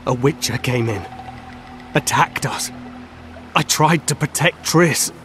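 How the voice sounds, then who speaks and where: An actor playing a mortally wounded elf seer in a video game, haunted and mournful, speaking weakly and haltingly. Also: male voice, mid-range voice, over music, lightly acted. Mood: weary recounting. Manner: slow, halting, labored. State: weak from fatal wounds, as acted.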